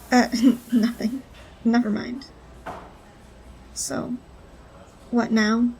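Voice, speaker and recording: A wary, quietly brave informant in an audio drama. Her voice is fairly high and very even in pitch, understated and matter-of-fact, with some noise behind it. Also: very slow, gravelly, exaggerated voice, low-quality recording. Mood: shy.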